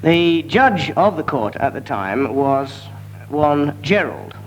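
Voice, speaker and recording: A man improvising a comic tale in a halting, digressive, mock-learned manner, on a muffled broadcast recording. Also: fairly high pitch, animated. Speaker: comedy panellist. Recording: muffled broadcast with background noise.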